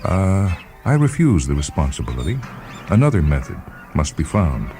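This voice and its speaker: A man voicing an indifferent, tentacled alien crewmate in a science fiction story, with formal, deliberate phrasing. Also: sits low, big pitch swings, mellow, faint music behind.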